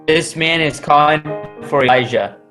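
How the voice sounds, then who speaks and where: A man's voice: a little high, moderate pace, over music, lightly acted. A man playing a bold onlooker from the crowd in a scripture readers theater. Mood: declaring. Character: bold crowd member, jeering soldier and passer-by.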